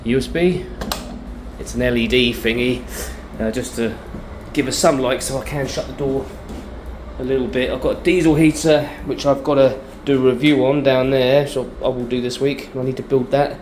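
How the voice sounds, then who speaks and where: British male vlogger, mid-pitched, informal video diary delivery, some background noise. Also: fairly even intonation, moderate pace, a little mellow. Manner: informal, chatty, thinking aloud. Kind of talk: casual video diary, talking while showing the space.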